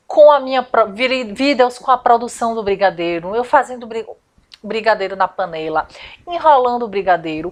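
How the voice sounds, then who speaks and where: A woman from Salvador, giving a chatty step-by-step lesson as a confectioner and business coach, often asking rhetorical questions. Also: on the high side. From Salvador, Brazil. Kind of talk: informal instructional video.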